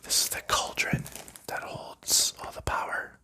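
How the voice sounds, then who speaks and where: Slow, crisp-whispering man explaining a game. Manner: soft unvoiced whisper, unhurried explaining. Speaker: ASMR creator. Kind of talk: whispered ASMR board game walkthrough.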